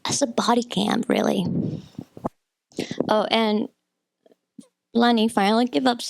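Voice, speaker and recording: Creaky, higher-voiced woman critiquing a film. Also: steady tone, measured pace, a little hiss or hum, rough recording. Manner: rambling, opinionated, conversational with frequent asides. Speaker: video essayist, film critic. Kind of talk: informal film critique commentary, partly scripted.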